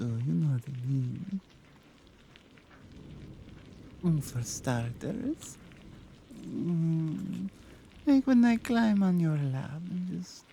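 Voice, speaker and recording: A woman voicing a devoted, quietly dangerous Slavic mafia boss in intimate ASMR roleplay, with a Slavic accent and halting, murmured phrasing. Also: sits low, a little hiss or hum, pitched below the performer's own voice, exaggerated voice. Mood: seductive.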